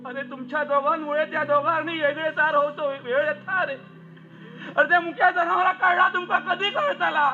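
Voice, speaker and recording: A man's voice: very high voice, steady tone, moderate pace, sharp tone, lo-fi sound, faint music behind, heavily acted. A man acting in a Malvani stage play as a village elder who pleads emphatically with a family not to break up. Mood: admonishing, sorrowful. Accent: Malvani Konkani. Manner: emphatic, repetitive pleading and admonishing. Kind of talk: theatrical one-act play acting.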